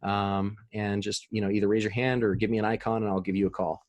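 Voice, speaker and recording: A man's voice: fairly low pitch, little pitch movement, fast talker, darkish tone. A man in his thirties presenting on marketing over a video call, talking loosely with many fillers and restarts. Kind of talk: casual presentation with Q&A.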